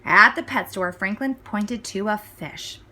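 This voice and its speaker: A woman reading a children's story aloud as scripted narration, with clear, expressive phrasing. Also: mid-range voice, measured pace, slight background noise. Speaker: storybook reader.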